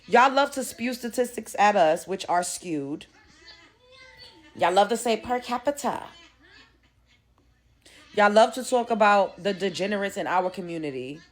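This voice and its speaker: A woman, ranting bluntly and profanely as an online commentator and comedian. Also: mid-range voice. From Brooklyn, New York. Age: 30s. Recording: roomy echo.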